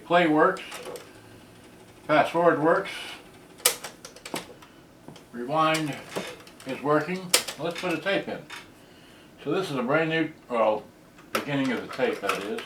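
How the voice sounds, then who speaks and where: A man's voice: mid-range voice, background noise. A man giving a casual, unscripted product demo, muttering to himself as he goes. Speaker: video creator demonstrating a cassette radio. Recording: roomy, distant mic, loud music playing. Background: loud music from cassette radio.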